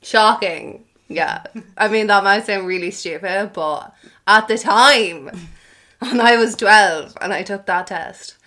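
A woman's voice: mid-range voice, slow, sharp tone. A woman in her twenties with an Irish accent, a native Irish speaker, chatting casually as a podcast guest and laughing often at herself. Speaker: anonymous guest.

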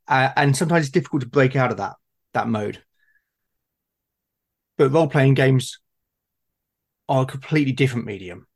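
Hesitant man with a mid-pitched voice. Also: animated, normal speed, long pauses. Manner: hesitant, repeats words while thinking. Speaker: tabletop gaming podcast speaker. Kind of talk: casual conversational discussion.

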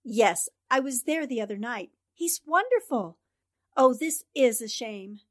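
An actress playing a brisk, self-assured newspaper reporter in 1940s radio drama style. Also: female voice, a little high, animated. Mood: delighted. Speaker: actor.

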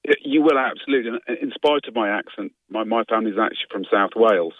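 Earnest low-voiced man on a thin line. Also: no echo, narrow, tinny audio. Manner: earnest, measured, stumbles on starts. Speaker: industry guide representative, guest. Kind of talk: radio interview.

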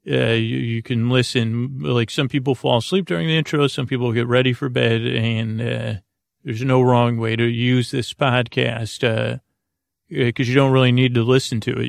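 A man with a very creaky, low-key voice hosts a bedtime podcast, recorded close and dry. He rambles soothingly and haltingly, drifting off into digressions and circling back. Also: no echo. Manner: lulling, soothing. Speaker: podcast host.

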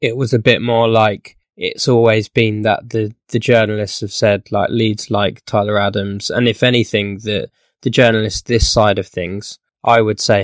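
Man, croaky podcast guest, rambling talker, dry close sound. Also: on the low side, dry recording. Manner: rambling, many fillers and restarts. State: croaky from illness. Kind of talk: casual podcast chat.

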